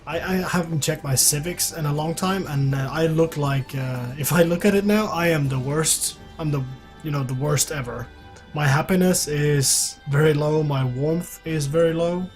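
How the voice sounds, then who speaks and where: A man's voice: fairly high pitch, moderate pace. A man casually commentating as he plays a game on a live stream, hosting a guest. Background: background music.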